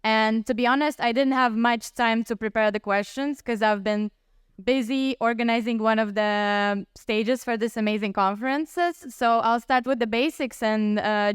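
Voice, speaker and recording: A woman opens a conference panel as moderator in a friendly, informal way and teases her guests. Her voice is fairly high and very clear, and the sound is dry and close. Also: no room sound. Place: conference stage.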